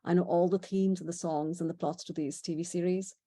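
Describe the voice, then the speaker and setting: A woman's voice: lowish voice, fairly even intonation, a little fast, warm tone. A middle-aged woman from India with an Indian accent, giving a chatty illustrated talk with asides and small laughs. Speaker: presenter. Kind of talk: illustrated talk on horses in history and culture.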